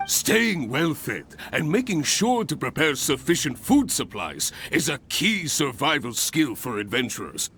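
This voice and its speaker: An actor playing a hearty, encouraging exam master in a video game, speaking in a grandiose, instructive way. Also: male voice, on the high side, sharp tone, heavily acted. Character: hearty, encouraging male exam master of adventurers, theatrical and enthusiastic. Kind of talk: video game character acting. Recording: game music under the voice.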